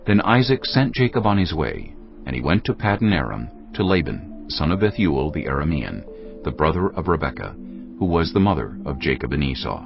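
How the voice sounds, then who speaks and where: Solemn bible narrator guy, super low and gravelly, music behind, slightly dull audio. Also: very animated, fairly quick. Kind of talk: solemn scripted scripture narration.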